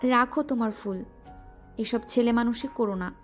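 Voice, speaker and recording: A woman's voice: a little high, moderate pace, natural voice. An actress playing a shy young female student who scolds her suitor while secretly pleased. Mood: coy. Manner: coy, mock-scolding. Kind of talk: Bengali audio drama acting. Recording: music underneath.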